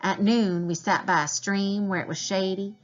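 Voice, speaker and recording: A woman's voice: mid-range voice, fairly even intonation, normal speed, lo-fi sound, faint music behind. A woman reading a children's diary novel aloud as a teacher would, in storybook narration.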